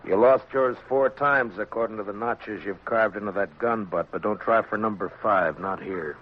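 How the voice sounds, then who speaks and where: An actor playing a world-weary, commanding marshal with a terse, measured manner in a radio western. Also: male voice, on the low side, a little hiss or hum, natural voice. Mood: stern warning. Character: stern, world-weary male frontier marshal, calmly commanding. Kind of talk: 1950s radio western acting and narration. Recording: muffled 1950s radio recording with music.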